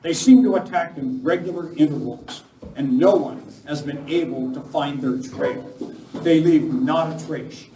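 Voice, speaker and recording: An actor playing an earnest, commanding masked lawman hero in a live Western radio play, speaking deliberately. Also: a little high, warm tone, lightly acted. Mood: steady, grave. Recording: echoey room from far off, noisy.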